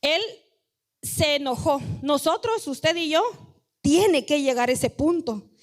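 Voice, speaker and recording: A woman's voice: sits high, expressive, slow pace. A woman pastor preaching a sermon in a conversational, emphatic way, speaking directly to the congregation and acting out quoted voices.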